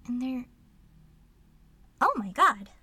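A very high, bright voice for a woman acting a shy young female servant in an intimate roleplay drama. She is curious and flustered, slipping into breathy whispers. Her delivery is slow and hesitant, with stammers. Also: lively intonation, no room sound, soft background music, heavily acted. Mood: tempted, scandalized. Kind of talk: intimate first-person audio roleplay drama.

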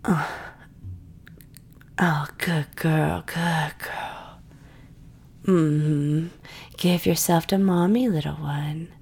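Woman murmuring and whispering real slow, coaxing and doting, lots of vocal fry. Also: steady tone, darkish tone. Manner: breathy sighs and low murmurs. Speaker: audio roleplay performer. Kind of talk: intimate whispered audio roleplay.

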